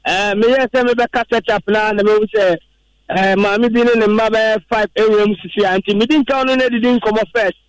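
A Ghanaian man hosts a live outdoor radio broadcast in a high, upbeat voice. His questions come quick and chatty, and the sound is close and dry. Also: steady tone, clear, resonant tone, no room sound, rough recording. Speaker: radio presenter.

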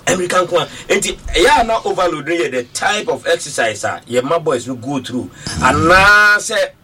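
A man's voice: a little high, very animated, normal speed, pushed and bright, slightly rough, distant mic. A man with a Ghanaian accent hosting a sports talk show, giving short prompts and switching between English and Twi. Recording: off-mic with faint background noise.

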